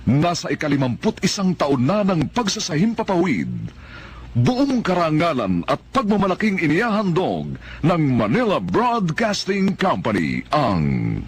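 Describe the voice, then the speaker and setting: A man's voice: on the high side, huge pitch swings, unhurried. A man announcing a radio programme in a grand, formal, scripted style. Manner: grand, ceremonious. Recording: slightly noisy vintage radio broadcast.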